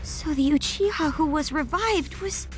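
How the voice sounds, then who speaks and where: A woman's voice: sits high, measured pace, music in the background. A woman playing an earnest, startled teenage ninja girl in an anime game dub.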